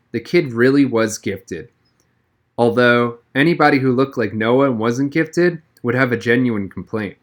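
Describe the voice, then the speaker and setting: A man's voice: medium pitch, a bit bright. A man reading a children's novel aloud as a first-person audiobook narrator.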